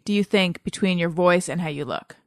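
A woman's voice: on the low side, fairly quick, no room sound, clean studio sound. A woman hosting a podcast, asking quiz questions and chatting in a playful way. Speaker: podcast host. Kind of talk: casual chat, quiz hosting.

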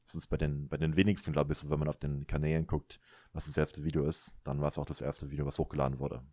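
A man's voice: extremely low pitch, flat delivery, quick pace, a little mellow, no echo, studio quality. A man in his thirties hosting a casual chat, laid-back and rambling, often tagging his sentences with little filler questions. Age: 30s. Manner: laid-back, rambling, self-mocking, frequent filler tags. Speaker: podcast host.

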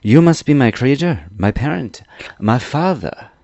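A man's voice: fairly high pitch, lively intonation, normal speed, warm tone, no echo, dull audio, voice pushed up. A man voicing a naive, polite pumpkin-headed man brought to life in a storybook, puzzled and earnest. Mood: surprised, earnest.

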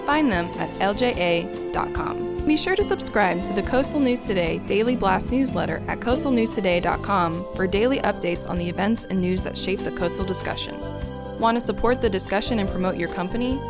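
A woman reading a scripted sponsor message in an upbeat, promotional way. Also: mid-range voice. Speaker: network sponsor announcer. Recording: voice over loud music bed.

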